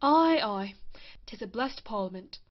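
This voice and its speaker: High, swooping, scandalised gentlewoman played by an actress. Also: animated, a little slow, highs cut off. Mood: scornful. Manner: exclamatory, indignant. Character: scandalised, scornful gentlewoman in a Restoration comedy. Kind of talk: period stage comedy acting.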